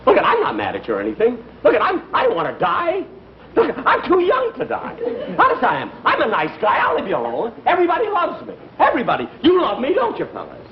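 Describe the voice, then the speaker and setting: Wildly swooping, high-voiced blustering cowardly cop. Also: male voice, huge pitch swings, quick pace, full character voice. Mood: frantic pleading. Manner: stammering, pleading bluster. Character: blustering cowardly male cop facing a gunman. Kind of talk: 1950s television comedy sketch acting. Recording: muffled old broadcast, faint hiss, audience.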